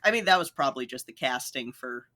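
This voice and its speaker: A woman's voice: rumbling low, animated, quick pace, sharp tone, no room sound, clean studio sound. An American woman co-hosting a podcast in casual conversation. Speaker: podcast co-host.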